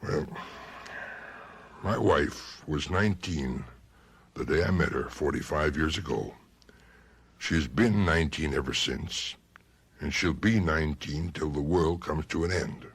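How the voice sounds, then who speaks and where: A man's voice: extremely low pitch, measured pace, breathy edge, heavily acted. An actor playing an earnest, troubled husband recalling his lifelong love in a radio drama. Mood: troubled, resigned. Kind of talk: 1970s radio drama acting.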